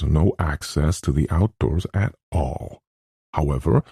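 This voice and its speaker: Guy doing an older doctor type, pedantic and pleased with himself, creaky low voice, storybook. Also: mellow, no room sound, clean studio sound, exaggerated voice. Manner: precise, pleased with himself, professorial. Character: genial, complacent older male scholar, pedantic host.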